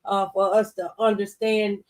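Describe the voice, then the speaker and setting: A woman's voice: mid-range voice, flat delivery, a little slow, a little mellow, recorded from a distance. A mature woman in a faith-based conversation, speaking earnestly and a little like a preacher, repeating phrases for emphasis. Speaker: talk show guest.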